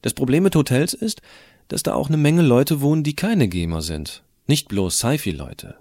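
A man playing a geeky, cheeky teenage boy who tells his story in colloquial, expressive first-person audio drama narration. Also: medium pitch, moderate pace, dry recording. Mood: wry, animated.

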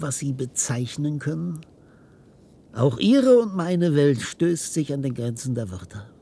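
A tormented, obsessive scientist in a radio drama, with a very mobile pitch and a gravelly, creaking edge. His delivery is theatrical and halting, swinging between hushed intensity and desperate outbursts, over a faint rumble. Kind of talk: German radio play acting.

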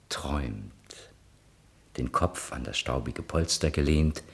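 A man narrating a radio play from a script, setting the scene in a measured way. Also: extremely low pitch.

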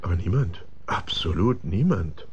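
An actor playing a nervous, effusive insurance businessman in a radio drama, excitable and given to stammering and repeating himself. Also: low voice, measured pace, muffled recording, a little hiss or hum. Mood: reassuring.

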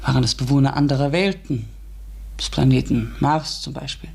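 Radio play narrator, reflective, husky, measured, deliberative, scientific-minded. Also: male voice, mid-range voice, a little mellow. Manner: measured, deliberative, rhetorical questioning. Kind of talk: scripted radio drama narration.

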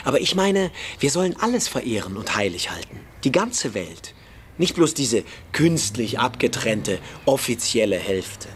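Radio play role: precocious, scornful young male freethinker, acted by a man, emphatic and persuasive, mid pitch, faint noisy ambience. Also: big pitch swings, moderate pace, natural voice. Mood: fervent. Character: precocious, provocative schoolboy freethinker lecturing his younger friend. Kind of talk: German radio play dialogue.

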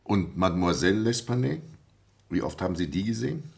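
An actor playing a brusque, authoritative French police prefect who questions witnesses curtly in a German radio play. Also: male voice, low-pitched, very animated, a little fast, gravelly, a little mellow, exaggerated voice. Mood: curt, businesslike. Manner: curt, commanding, interrogating.

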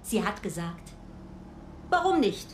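A woman's voice: medium pitch, sing-song, slow pace, a little hiss or hum. An actress playing an angry, proud middle-aged mother who rants with sarcasm in a radio drama. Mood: indignant.

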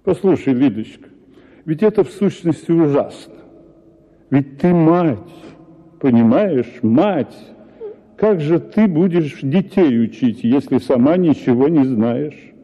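An actor reading a story for radio as a husband, lecturing in an exasperated tone. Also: male voice, a little high, lively intonation, slow pace. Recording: tinny radio broadcast with hall reverb.

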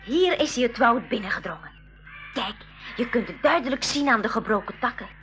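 High, bright, curious young girl. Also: animated, a little fast, soft background music. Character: curious young girl adventurer, excitable and outspoken. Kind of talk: 1980s school radio play acting.

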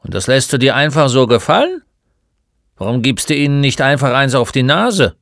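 Man voicing a gruff, loud, mocking old shopkeeper. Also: mid-range voice, sing-song, moderate pace, dry recording, studio quality, pitched above the performer's own voice. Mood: probing, brusque. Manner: grumbling, blunt, interrogating. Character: gruff, mocking old male bookshop keeper. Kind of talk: storybook character voice.

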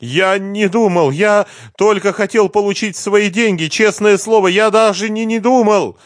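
In a detective audiobook, a male narrator plays a frightened singer being questioned. His voice is pitched very high, whiny and pleading. He sounds weepy and close to hysteria, with a dry, close sound. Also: animated, normal speed, no echo. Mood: hysterical, tearful. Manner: pleading, whining, stammering protests. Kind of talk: audiobook detective novel dialogue.

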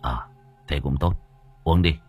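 A man voicing a gruff hired thug leader who speaks curtly and low. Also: a little slow, highs cut off, faint music behind, heavily acted. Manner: curt, low and quiet.

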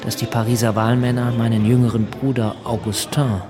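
An actor playing an earnest, careful revolutionary politician in a radio drama, with a formal, measured manner and a creaky voice. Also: male voice, medium pitch, flat delivery, over loud music, natural voice. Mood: earnest, guarded.

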